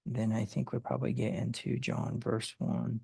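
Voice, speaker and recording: A Bible study teacher who explains word meanings in a halting way, with many fillers and restarts. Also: mid-range voice, level tone, gravelly, darkish tone, husky, dry recording.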